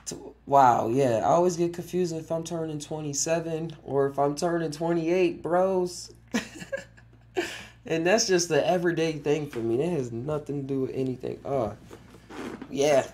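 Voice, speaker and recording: A man's voice: on the high side, normal speed, darkish tone. A man in his twenties hosting a casual solo podcast, rambling with frequent chuckles and restarted sentences. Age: 20s. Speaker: podcast host.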